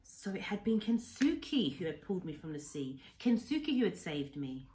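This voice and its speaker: A woman teacher reading a children's novel aloud as an expressive narrator. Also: on the low side, normal speed. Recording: faint background noise and ambience.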